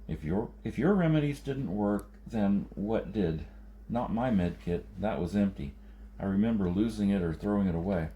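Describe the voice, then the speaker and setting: A man's voice: lowish voice, animated, moderate pace, muffled recording, lightly acted. A man voicing an anxious, questioning wounded adventurer in a science fiction audiobook. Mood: puzzled, uneasy.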